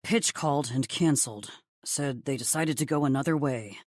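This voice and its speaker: A woman voicing a confident male billionaire boss in a romance audiobook, calm, deep and suggestive. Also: normal speed, no room sound, clean studio sound. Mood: matter-of-fact.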